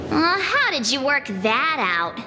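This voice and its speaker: A woman's voice: high voice, very animated, takes it slowly, exaggerated voice. An actress playing a naive, eager young girl adventurer in a video game, asking things with innocent curiosity. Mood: incredulous. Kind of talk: animated game voice acting. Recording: studio voice over game music.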